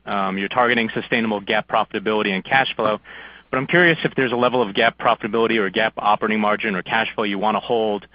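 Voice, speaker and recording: Fast-talking male analyst on a noisy phone line. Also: lowish voice. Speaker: analyst asking questions. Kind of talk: polite prepared question on earnings call.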